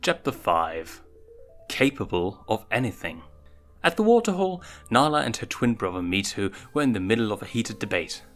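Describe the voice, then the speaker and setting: A man reads a story aloud as its narrator. His pitch is on the low side and moves in a lively way, with soft music underneath. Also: normal speed. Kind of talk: scripted fan fiction narration.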